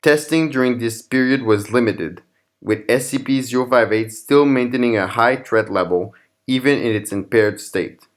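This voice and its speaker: Man narrating a horror file, medium pitch. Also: moderate pace. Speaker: narrator and channel host. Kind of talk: scripted narration of horror fiction documents.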